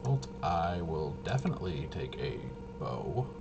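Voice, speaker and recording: Male, fairly low pitch, casual muttering think-aloud game walkthrough host, noisy recording. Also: big pitch swings, measured pace, gravelly. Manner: rambling, muttering to himself, playful interjections. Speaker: host of a tabletop RPG channel.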